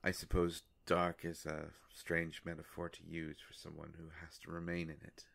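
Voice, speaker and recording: A man voicing an ancient male vampire in an intimate roleplay monologue, courtly and melancholy, with formal, halting, hushed phrasing. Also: deep voice, monotone, gravelly. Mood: wistful, solemn.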